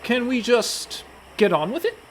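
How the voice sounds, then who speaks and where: A man's voice: extremely high pitch, sing-song, unhurried, slight background noise, exaggerated voice. A man playing a prissy, indignant and reluctant young pirate in a comedic radio play. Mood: exasperated. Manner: fussy, exasperated.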